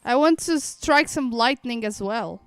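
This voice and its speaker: A woman's voice: a little high, lively intonation, a little slow, smooth, no echo. A woman streamer chatting casually and playfully to her live audience as she plays a game. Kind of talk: casual live-stream chat while gaming. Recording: dry close mic, faint music behind.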